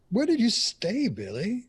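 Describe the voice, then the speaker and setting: Polite probing 60s radio interviewer, animated, higher voice, audio drama. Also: male voice, husky, full character voice. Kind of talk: 1960s radio interview acting.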